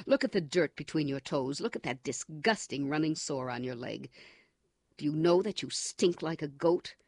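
A man voicing a cold, menacing male party interrogator in an audiobook, speaking forcefully and with contempt. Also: on the high side, dry recording, clean studio sound. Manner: forceful, contemptuous, coldly commanding. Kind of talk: audiobook character reading.

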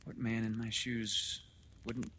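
A man voicing a sulky, subdued father in a storybook reading, tense and quiet. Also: fairly low pitch, completely flat delivery, slow pace, slight background noise, voice pushed up. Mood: hollow, emptily. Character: glum, sulky young father, quietly strained.

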